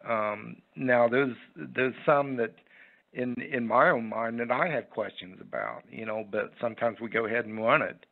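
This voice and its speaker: A man giving unscripted interview answers in a halting, rambling, folksy way over a phone line. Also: medium pitch, gravelly. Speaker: interview guest, website owner. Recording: muffled, tinny phone line.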